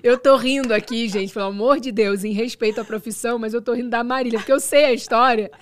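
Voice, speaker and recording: A woman's voice: fairly high pitch, fairly even intonation, fast talker, a crowd in the background. A woman hosting a podcast interview, reacting with short exclamations and laughter.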